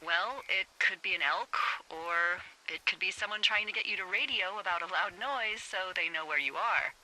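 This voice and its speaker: A woman's voice: mid-range voice, normal speed, bright, forceful tone, rough recording. An American actress playing a sardonic, anxious woman on a two-way radio in a video game, blunt, dry and profane. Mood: dry, urgent. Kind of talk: naturalistic video game dialogue. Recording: hissy radio transmission.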